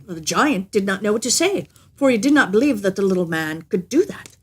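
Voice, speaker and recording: A woman reading a fairy tale aloud as an expressive, scripted narration. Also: mid-range voice, brisk, husky. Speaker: storyteller.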